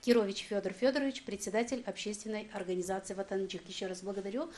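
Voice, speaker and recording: A woman hosting a TV programme, moderating in a brisk, insistent manner. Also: mid-range voice, steady tone, darkish tone. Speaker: TV programme host. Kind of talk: broadcast moderating.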